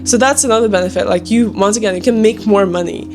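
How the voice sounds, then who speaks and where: A woman's voice: medium pitch, quick pace, music in the background. A young woman with a Ukrainian accent chatting casually and motivationally to viewers, in an informal, emphatic, encouraging manner. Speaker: online video creator and sales closer. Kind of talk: casual motivational chat to camera.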